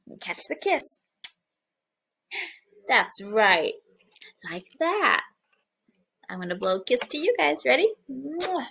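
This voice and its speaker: A young woman preschool teacher reading a picture book aloud to small children and explaining it, with animated, exaggerated expression. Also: sits high, takes it slowly, creaky, long pauses, breathy edge. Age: young adult. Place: small living room, indoors, on a couch.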